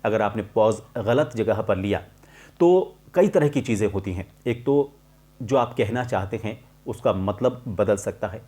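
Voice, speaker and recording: A middle-aged man explaining a lesson to viewers as a voice coach, in a deliberate, emphatic manner with clear pauses. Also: fairly low pitch, lively intonation, dark tone. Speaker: voice-over artist and voice coach hosting a tutorial.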